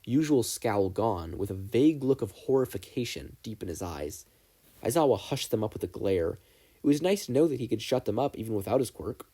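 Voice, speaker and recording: A man's voice: on the low side, mellow, husky. A man narrating a story as a reader in a scripted audiobook style. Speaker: podfic narrator.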